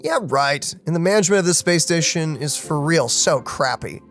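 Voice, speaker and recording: A man's voice: a little high, moderate pace, soft background music. A man hosting a let's play, playfully reading game dialogue aloud and adding casual commentary. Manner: playful, animated.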